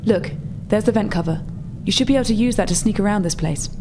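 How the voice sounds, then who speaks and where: A woman playing a brisk, practical rebel contact in a tabletop roleplay, explaining things matter-of-factly. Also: medium pitch, background noise, close to an everyday voice. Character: female rebel contact, brisk, practical, slightly flustered insider technician.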